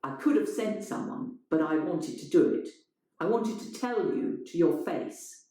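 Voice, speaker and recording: A woman's voice: lowish voice, animated, a little slow, dark tone. A woman voicing an angry, sneering man in a dramatised short story, indignant and accusing. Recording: strongly echoing, roomy sound.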